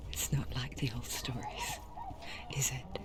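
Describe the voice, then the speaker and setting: A woman playing an intimate, knowing narrator in a video game, speaking in a hushed, deliberate, confiding way. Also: very deep voice, wildly swooping pitch, whispered, full character voice. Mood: eerie, confiding. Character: intimate, knowing female narrator voice inside the head. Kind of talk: whispered dramatic game narration.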